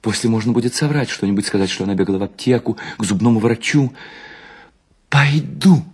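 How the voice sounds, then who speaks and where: A man's voice: on the low side, brisk, husky, pitched above the performer's own voice. A man voicing a vain, romantic married woman in a story reading, eager beneath feigned indifference. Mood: anxious eagerness.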